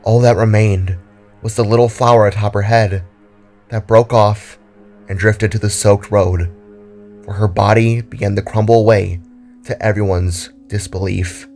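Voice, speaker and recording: A man's voice: fairly low pitch, steady tone, unhurried, a little mellow, faint music behind. A man narrating a scripted, dramatic story.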